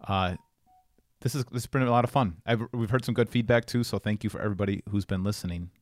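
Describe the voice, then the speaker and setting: A man's voice: on the low side, fast talker, no echo, studio quality. A man co-hosting a podcast, talking casually and explaining things as he goes. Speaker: podcast co-host.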